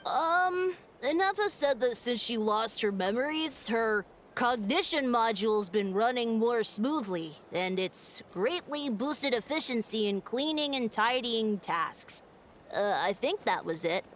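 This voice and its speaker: Chatty, lively-pitched young child. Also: female voice, unhurried, a little hiss or hum. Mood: matter-of-fact. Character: matter-of-fact young child in a fantasy game.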